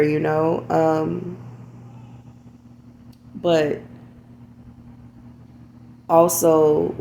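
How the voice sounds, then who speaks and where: Low, gravelly woman speaking candidly. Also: dark tone, a little hiss or hum. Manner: candid, repeats "you know what I'm saying". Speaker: podcast host. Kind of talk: reflective personal monologue.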